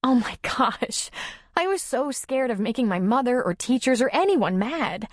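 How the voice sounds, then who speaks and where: A woman voicing a tearful, timid young single mother who confides haltingly in an audiobook story. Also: a little high, expressive, dry recording, studio quality, pitched above the performer's own voice. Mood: emotional confession. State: tearful, sniffling.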